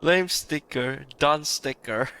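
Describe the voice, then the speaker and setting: A man giving casual, offhand gameplay commentary in a halting mutter, reading choices aloud. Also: on the high side, pushed and bright, clean tone.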